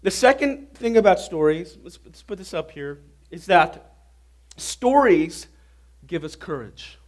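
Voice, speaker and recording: Hoarse, high-pitched preaching man. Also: unhurried. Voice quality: normally deep voice, now raspy. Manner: animated preaching, quizzing the audience with questions. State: hoarse, getting over a flu. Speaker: pastor. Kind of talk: sermon. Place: church hall before an audience.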